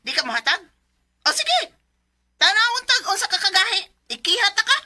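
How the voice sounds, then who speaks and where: A bitter Cebuano wife. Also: female voice, extremely high pitch, very animated, brisk, full character voice. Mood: cold. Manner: sharp and confrontational. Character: estranged wife, bitter and demanding. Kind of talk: 1990s radio drama acting.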